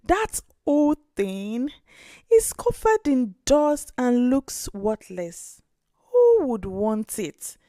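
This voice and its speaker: A woman voicing a poor, gentle old grandmother in a storybook tale, weary and tender. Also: on the high side, big pitch swings, drawn-out words, no room sound, studio quality, heavily acted. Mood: doubtful. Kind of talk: storybook character voice.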